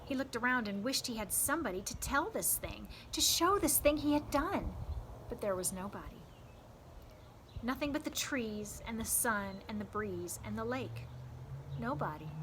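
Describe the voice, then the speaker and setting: High-voiced woman reading aloud over noise. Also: expressive, fairly bright tone. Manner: dramatic, deliberate storytelling with emphatic delivery. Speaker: read-aloud host for a youth scouting program. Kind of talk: expressive audiobook-style read-aloud narration.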